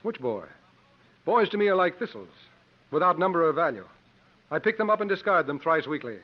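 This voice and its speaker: An actor playing a roguish, unruffled adventurer with an urbane, dryly formal manner in 1950s radio acting. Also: male voice, on the high side, sing-song, brisk. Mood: sardonic. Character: suave, sardonic, roguish male adventurer, cool under fire. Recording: dull, noisy 1950s radio recording.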